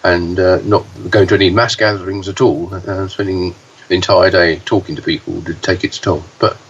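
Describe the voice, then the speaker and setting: Man, low voice, hoarse, halting with fillers, podcast co-host, distant and dull recording, faint hiss. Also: fairly even intonation. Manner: halting, many fillers and restarts. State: hoarse, deeper voice than usual. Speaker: podcast co-host, pinball news reporter. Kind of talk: casual podcast chat.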